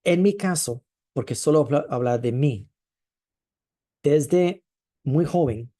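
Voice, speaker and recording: Mellow-voiced male preacher, thoughtful. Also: mid-range voice. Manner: thoughtful, with frequent hesitations and drawn-out stresses. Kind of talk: sermon.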